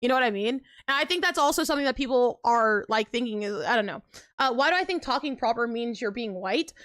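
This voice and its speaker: A young woman talks to her live-stream viewers in a high, bright voice, riffing casually and emphatically with plenty of fillers. She is recorded close and dry, with no room sound. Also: quick pace, no echo. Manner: animated, filler-heavy, emphatic, frequent laughs and pitch jumps. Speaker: livestreamer.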